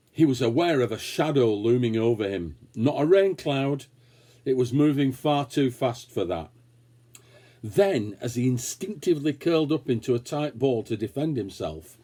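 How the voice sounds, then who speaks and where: A man reading a children's story aloud, expressively and with dramatic pauses. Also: mid-range voice, dark tone, husky. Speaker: story reader. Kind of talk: scripted children's story narration.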